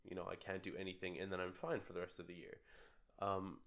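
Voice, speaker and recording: A man's voice: low-pitched, monotone, fast, a bit bright. A young man co-hosting a casual podcast chat, sick with a cold and rambling with many filler words. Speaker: podcast co-host.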